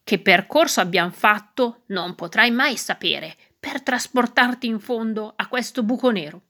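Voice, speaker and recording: A woman's voice: fairly high pitch, expressive, a little slow, a bit bright, pitched above the performer's own voice. Woman putting on a scolding, grumbling badger. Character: grumbling male badger captor, scolding an intruder.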